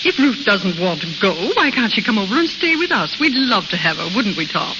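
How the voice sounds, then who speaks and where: A woman's voice: medium pitch, big pitch swings, brisk, lightly acted. An actress in an old radio drama playing a gushing older society mother who is secretly a greedy crook. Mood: gushing, eager. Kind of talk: 1940s radio acting. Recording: dull, very noisy old radio broadcast.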